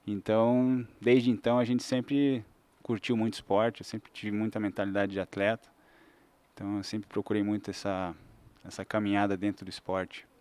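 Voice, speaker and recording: A man in his forties from southern Brazil talks in a slightly low voice. He is a fitness trainer on a casual podcast, loose and unhurried, and often circles back and restarts his sentences. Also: flat delivery, a little mellow, clear, resonant tone. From Santa Catarina, Brazil. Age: 40s. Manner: easygoing, explains at length with many restarts and fillers. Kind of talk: casual interview chat.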